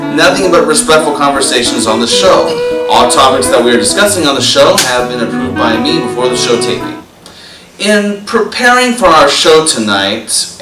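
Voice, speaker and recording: A young man hosting a talk show, greeting viewers with earnest, announcer-like emphasis and reading a poem aloud. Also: medium pitch. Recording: roomy, distant mic.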